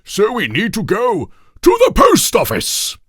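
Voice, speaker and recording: A storybook weasel king, male, loud and imperious. He swoops far up in pitch and declaims every order with huge drama, bossy and muddle-headed. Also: wildly swooping pitch, measured pace, slightly rough, no room sound, clean studio sound, full character voice. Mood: grand, commanding.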